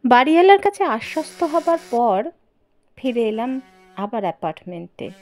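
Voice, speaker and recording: A woman narrating a horror story in the first person, theatrical and suspenseful, with drawn-out pauses. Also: a little high, big pitch swings, darkish tone. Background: background music.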